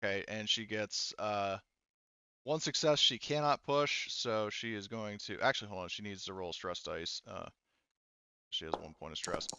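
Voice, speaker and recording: A man's voice: lowish voice, normal speed, sharp tone, clean tone, dull audio. A man acting as game master in a tabletop roleplaying game, thinking aloud through rules and dice math.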